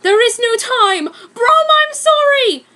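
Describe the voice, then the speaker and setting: A woman voicing a frantic teenage boy wizard in a story, shouting and overwrought. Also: very high voice, expressive, takes it slowly, voice pushed up, exaggerated voice. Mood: desperate pleading.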